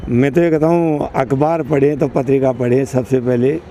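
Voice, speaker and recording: An older man in his sixties gives earnest, rambling answers in a street interview. His voice is mellow and relaxed, with faint city noise around him outdoors. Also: mid-range voice, moderate pace, lo-fi sound. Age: 60s. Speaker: interviewee, longtime reader.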